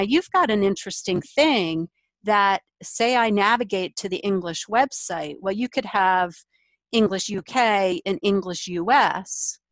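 A woman's voice: mid-range voice, no echo, poor audio. A woman hosting a business interview, thinking aloud and giving advice through hypothetical examples. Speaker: podcast host.

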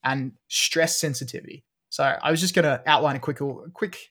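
A man's voice: a little high, brisk, a bit bright. A man with an Australian accent, co-hosting a casual science podcast and explaining research with frequent fillers. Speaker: podcast co-host.

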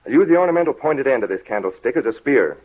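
An actor playing a methodical investigator of the uncanny in a 1930s radio drama, speaking formally and with deliberate authority. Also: male voice, mid-range voice, animated, breathy edge. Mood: resolute. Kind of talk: 1930s old time radio acting. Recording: muffled, scratchy 1930s radio recording.

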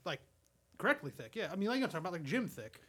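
Animated, husky-voiced man rambling. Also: high-pitched, very fast. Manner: rambling, repeats himself, swears. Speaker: podcast host. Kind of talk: casual crude comedy chat.

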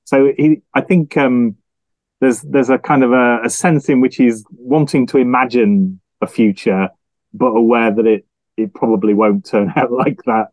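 A man giving thoughtful, casual analysis as a podcast panelist, halting and repeating words as he thinks. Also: medium pitch, steady tone, darkish tone, dry recording, rough recording.

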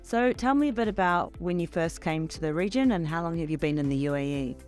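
A woman's voice: on the low side, expressive, brisk, darkish tone, soft background music. A woman hosting an interview, introducing her guest and asking questions in a conversational but prepared style. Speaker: interview host.

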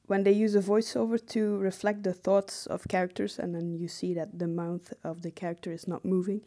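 A woman's voice: fairly low pitch, level tone, a little fast, mellow. A woman speaking as a podcast co-host, explaining points casually in a back-and-forth discussion.

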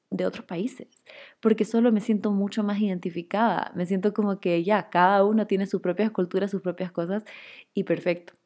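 A woman's voice: on the low side, mellow. A young woman from Chile whose Spanish carries a Guayaquil accent with Chilean traces. She tells a personal story in a chatty way, full of fillers like "como que" and "o sea".

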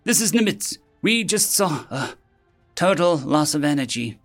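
A man acts out a panicked caller on the radio in an audio drama reading. The voice is high for a man and very animated, pleading urgently over music. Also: measured pace.

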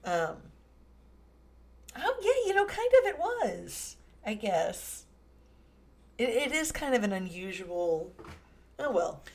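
A woman's voice: a little high, very animated. A woman co-hosting a podcast, chatting casually about a film and chiming in with agreement. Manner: chatty, quick to agree and laugh. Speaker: podcast co-host.

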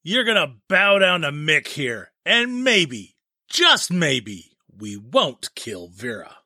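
A cruel male thug played by a woman reader, mocking and very sharp-edged. The taunts come slowly, with big swings in pitch. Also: big pitch swings, no room sound, studio quality, full character voice. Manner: taunting, drawn-out. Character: jeering male terrorist henchman, cruel and mocking.

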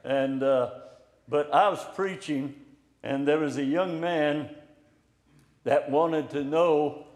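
Slow-spoken older preacher in echoey hall. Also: male voice, fairly high pitch, a little mellow. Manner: slow, plainspoken, encouraging. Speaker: pastor. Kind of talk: informal sermon. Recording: echoey room, hall reverb.

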